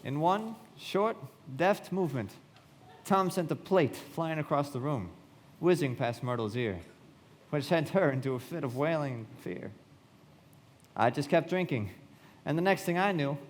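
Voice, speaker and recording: Young male narrator played by an actor, live radio drama; reflective, descriptive, animated delivery in a reverberant hall. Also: a little high, natural voice. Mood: dramatic narration. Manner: measured, descriptive storytelling.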